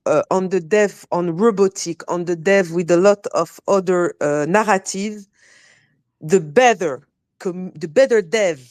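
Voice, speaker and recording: French-accented woman, earnest and halting. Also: mid-range voice, a little mellow, dry recording. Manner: earnest, halting non-native phrasing. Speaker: community ambassador, speaker in online space. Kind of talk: casual, unscripted talk.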